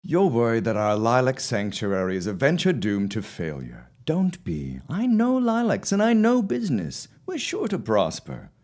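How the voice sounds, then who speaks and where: A man acting a confident, dismissive farmer husband of the early 1900s in an audio drama, with formal, deliberate phrasing. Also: mid-range voice, wildly swooping pitch, a little mellow. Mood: reassuring.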